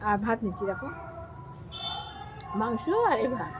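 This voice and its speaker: A woman's voice: on the high side, moderate pace, background noise. A middle-aged woman from Bengal chatting casually to viewers while eating at home, calling them friends. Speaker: vlogger. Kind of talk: casual eating vlog chat.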